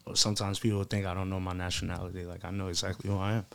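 A man's voice: deep voice, little pitch movement, rapid-fire, gravelly, fairly bright tone, smooth, dry recording. A man from the United States chatting casually in slang as an interviewed musician, emphatic and self-assured, often repeating himself for weight.

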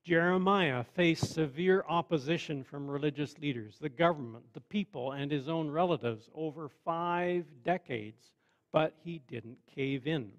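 A man preaching a sermon in a measured, conversational way with wry asides. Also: on the high side, highs cut off. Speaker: pastor.

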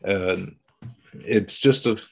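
Brief: low gravelly man, raspy and under the weather, unhurried bible-study host on a call. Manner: slow, teasing, explanatory. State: raspy from illness. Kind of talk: casual Bible study chat over video call. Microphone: video call.

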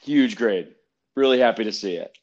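A man's voice: on the low side, moderate pace, a little mellow, highs cut off. A man answering interview questions in a friendly, plain way, with ums and restarts. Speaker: coffee roaster, guest.

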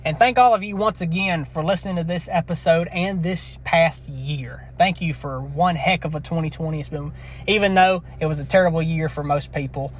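A man's voice: on the high side, normal speed. Young man hosting a podcast, throat scratchy. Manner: rambling, earnest, with frequent restarts. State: scratchy throat from long talking. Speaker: podcast host. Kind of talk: casual solo podcast wrap-up.

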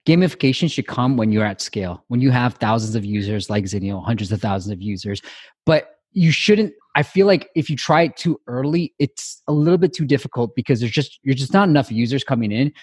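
A man's voice: mid-range voice, lively intonation, a little fast, a little mellow, breathy edge, no room sound, studio quality. A man originally from Myanmar hosting a podcast, chatty and enthusiastic, with frequent use of "like". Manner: enthusiastic, chatty, uses "like" often. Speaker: podcast host. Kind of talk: casual podcast interview.

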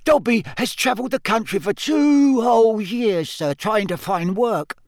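A man voicing an eager, devoted small male house-elf in a squeaky, shrill, servile voice for an audiobook. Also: unhurried, no echo, studio quality. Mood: earnest. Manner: servile, speaks of himself in third person.

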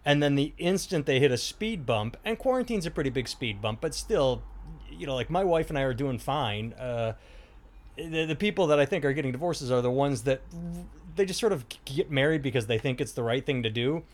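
A man's voice: medium pitch, lively intonation, a little fast. A middle-aged man hosting a podcast, rambling casually, swearing freely and restarting his sentences. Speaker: podcast host. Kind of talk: casual chat.

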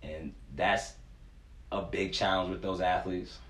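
A man's voice: low voice, level tone, measured pace, fairly bright tone. A man in his twenties speaking casually and earnestly as a coach being interviewed, in informal, slangy language with plenty of "you know". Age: 20s. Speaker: youth sports performance coach, interview guest. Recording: echoey room, faint background noise.

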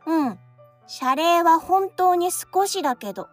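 An actress playing a pushy, cheeky high-school girl in an audio drama, talking casually and teasingly. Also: lively intonation, unhurried, faint music behind. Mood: teasing, coaxing. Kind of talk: Japanese audio drama acting.

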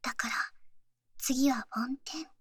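A woman playing an affectionate, teasing young athlete girlfriend in an intimate ASMR voice drama, speaking softly and often whispering close to the mic. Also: sits high, husky, no room sound, full character voice. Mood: gentle, teasing. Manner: soft, slow, often whispered, gently teasing. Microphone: close-up studio mic, dry.

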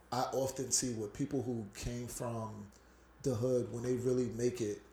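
Reflective Brooklyn man, mellow echoey voice. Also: mid-range voice. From Brooklyn, New York. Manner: reflective, earnest storytelling. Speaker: podcast co-host. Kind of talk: casual podcast chat.

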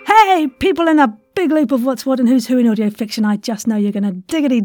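A woman's voice: high-pitched, fast, darkish tone, no echo, studio quality, faint music behind. A woman hosting a podcast interview in an effusive, theatrical and whimsical way, full of playful wordplay. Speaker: podcast host.

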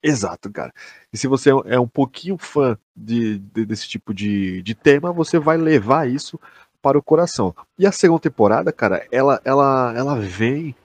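Male podcast host, Brazilian accent, slightly rough husky tone, excited and sweary casual chat. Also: medium pitch, moderate pace, no echo. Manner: slangy, swearing, emphatic. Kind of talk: casual chat, enthusiastic review.

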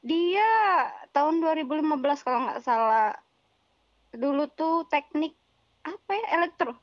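A young woman with a shrill voice, chatting casually and jokingly as a podcast guest. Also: measured pace, no echo, lo-fi sound. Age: young adult. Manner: joking, informal. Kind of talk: casual chat.

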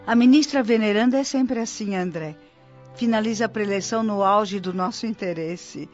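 An actress playing a kindly, admiring woman who explains gently in a Brazilian radio drama, with a Brazilian accent. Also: medium pitch, moderate pace, close to an everyday voice. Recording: dull radio-drama recording with music.

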